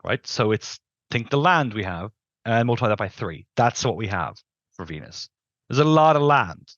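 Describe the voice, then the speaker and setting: A middle-aged man with a fairly low voice talks as an expert guest, explaining in an informal, emphatic way. He is close on a headset mic in a dry space, and the sound is slightly muffled. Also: expressive, moderate pace, a bit bright, no room sound. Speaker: planetary scientist, interview guest. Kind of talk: explanatory interview talk. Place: indoors on a video call.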